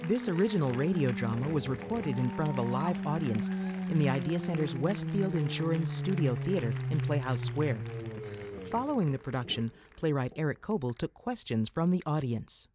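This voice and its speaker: A man's voice: on the high side, animated, moderate pace. A man reading a scripted radio announcement as the program's announcer. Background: loud music.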